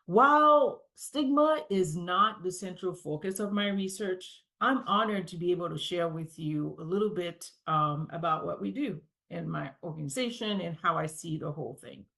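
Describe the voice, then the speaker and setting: A woman in her forties from Haiti, with a Haitian accent, giving a prepared conference presentation in a measured, deliberate way, as if reading. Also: fairly low pitch, expressive, vocal fry, bright, forceful tone. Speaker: conference speaker.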